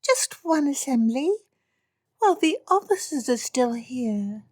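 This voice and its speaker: A woman voicing a spoiled, whiny teenage girl who begs in high, drawn-out pleas. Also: big pitch swings, a little mellow, no room sound, studio quality, full character voice. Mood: whiny pleading. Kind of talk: audiobook character reading.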